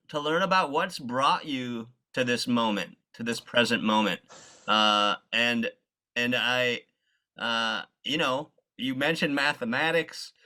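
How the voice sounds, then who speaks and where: A man hosting an interview, speaking earnestly and haltingly with many restarts as he praises and questions his guest. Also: medium pitch, sharp tone, rough recording. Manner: hesitant, full of fillers and restarts, earnest. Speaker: interviewer, facilitator.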